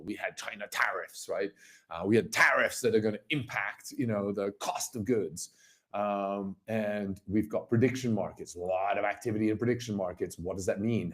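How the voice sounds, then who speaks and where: A man with a slightly hoarse voice hosting a casual livestream market commentary, rambling and emphatic, repeating phrases and posing rhetorical questions. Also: lowish voice. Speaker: livestream host, company founder.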